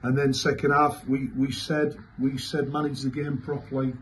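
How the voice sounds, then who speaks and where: A man, answering as a football manager after a match in halting, repetitive, emphatic phrases. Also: medium pitch, flat delivery. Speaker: football manager giving a post-match interview. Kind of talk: post-match interview answers. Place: small indoor club press room. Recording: clearly noisy room ambience.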